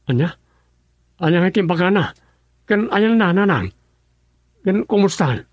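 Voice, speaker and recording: A man's voice: high voice, expressive, moderate pace, dry recording, studio quality. A man with an Ilocano accent playing a distraught husband searching for his wife in a radio drama, agitated and questioning. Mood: distressed.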